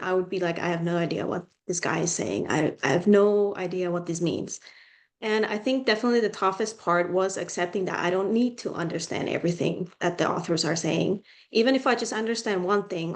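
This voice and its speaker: Young Finnish-speaking woman tutor, chatty. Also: medium pitch. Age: young adult. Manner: chatty, frequent "like" and ums. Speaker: student tutor. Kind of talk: casual advice to students.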